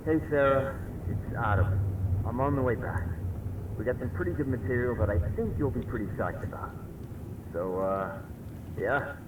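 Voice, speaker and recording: A man's voice: mid-range voice, animated, normal speed, long pauses, natural voice. An actor playing a casual, slightly hesitant young man leaving a voicemail in a horror web series. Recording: distant, echoey, noisy voicemail.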